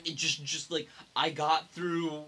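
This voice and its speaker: A young man co-hosting a casual gaming chat with friends, talking in a halting way with many restarts. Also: fairly high pitch, little pitch movement, pushed and bright. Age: young adult. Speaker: podcast co-host. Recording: noisy hobby podcast recording.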